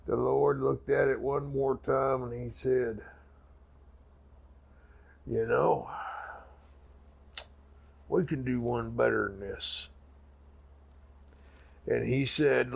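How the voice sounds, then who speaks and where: Casting: folksy comic preacher, extremely croaky, very slow, solemn and grandiose storytelling. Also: male voice, mid-range voice, exaggerated voice. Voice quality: gravelly, raspy. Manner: drawn-out, folksy, dramatic pauses and sudden swells. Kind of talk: mock sermon storytelling.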